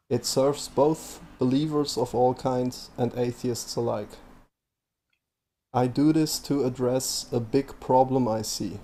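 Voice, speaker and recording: A man from Switzerland with a Swiss German accent, reading a prepared presentation in a measured way. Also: mid-range voice, mellow. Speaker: video presenter. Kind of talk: scripted presentation.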